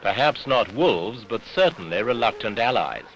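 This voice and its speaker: A man's voice: lowish voice, big pitch swings, normal speed, fairly bright tone, lo-fi sound. A British man reading scripted newsreel narration with pointed, dramatic phrasing on an old 1970s soundtrack.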